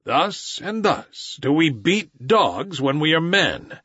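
A man voicing a defiant boy raised by wolves, with a husky voice and a dull recording. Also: a little high, sing-song, slow pace, no room sound, exaggerated voice. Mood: fierce. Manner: shouting commands, then sobbing pleas.

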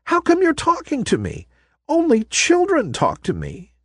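A man's voice: mid-range voice, wildly swooping pitch, normal speed, husky, no echo, clean studio sound, exaggerated voice. A man doing a small, earnest toy ballerina girl. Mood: tearful, bewildered. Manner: earnest, pleading.

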